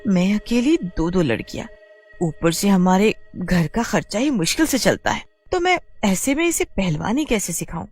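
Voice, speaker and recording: A woman voicing a worried mother of two daughters in a cartoon, speaking softly and fretfully. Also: mid-range voice, expressive, moderate pace, soft background music, lightly acted. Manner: soft, worried complaining.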